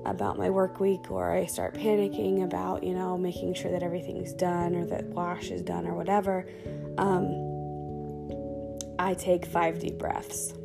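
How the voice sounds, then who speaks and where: Woman podcast host, scratchy voice, soft-spoken, laid-back fillers, music behind. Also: fairly low pitch, level tone, a little slow, creaky. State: scratchy throat. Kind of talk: calm guided self-talk meditation. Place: dark room at home under blankets.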